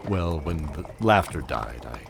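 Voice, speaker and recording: A man's voice: a bass voice, lively intonation, measured pace, creaky, background noise, heavily acted. A man playing a bearded old knight, fatherly and wistful, telling his tale hesitantly in an audio drama. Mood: reflective. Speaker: narrator and knight character.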